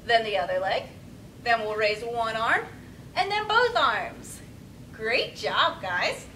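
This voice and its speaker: Bright-voiced young woman leading kids' yoga, distant mic. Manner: encouraging, simple step-by-step directions; demonstrating poses while talking. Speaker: children's yoga storytime instructor. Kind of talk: instructional talk for young children. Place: small indoor room with padded steps. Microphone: camera several metres away. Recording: distant camera mic, rumbly background noise.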